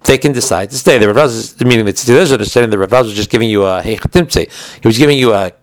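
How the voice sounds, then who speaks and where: A man's voice: mid-range voice, fast talker, no room sound. A man giving an informal religious law class, explaining texts in a digressive way, often rephrasing and posing questions to himself.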